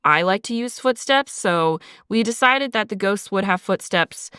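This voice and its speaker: A woman's voice: mid-range voice, expressive, measured pace, bright, forceful tone, clean tone, dry recording, studio quality. A woman podcast host talking as a sound designer, explaining methodically and conversationally with examples. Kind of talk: behind-the-scenes podcast discussion, explanatory.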